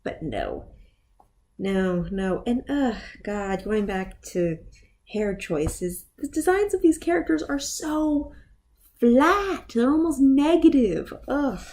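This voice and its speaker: A woman's voice: fairly high pitch, sing-song, slow, a little mellow. A woman giving a casual, opinionated movie review in a blunt, exasperated manner, with sighs and groans.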